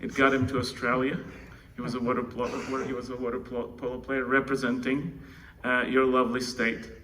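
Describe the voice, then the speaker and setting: Bright-voiced Czech man addressing a conference. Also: mid-range voice, completely flat delivery, a little fast. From Czech Republic. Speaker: conference speaker introducing co-founder. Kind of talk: informal introductory speech. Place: indoor conference room. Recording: distant mic, faint noise, room ambience.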